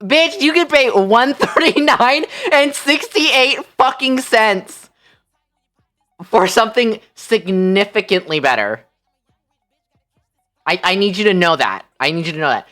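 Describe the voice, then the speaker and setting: A woman livestream host telling a story casually, in an animated, incredulous, slangy way. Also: medium pitch, unhurried, faint music behind.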